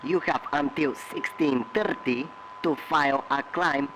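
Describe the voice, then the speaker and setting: A man's voice: on the high side, measured pace, a bit bright. A man playing a cold, impersonal party herald reading a formal official announcement over the radio. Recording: noisy, humming radio broadcast.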